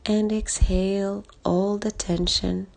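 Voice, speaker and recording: A woman leading a guided body scan meditation in a calm, soothing way, speaking in short soft phrases with long pauses and a breathy edge. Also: mid-range voice. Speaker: meditation guide, life coach. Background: background music.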